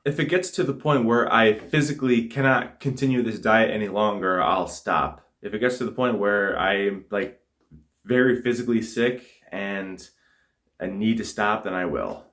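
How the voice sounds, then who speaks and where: A man's voice: lowish voice, normal speed, fairly bright tone. Man vlogging his diet, casual. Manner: candid, self-reporting how he feels. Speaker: fitness vlogger. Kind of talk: casual diet vlog narration.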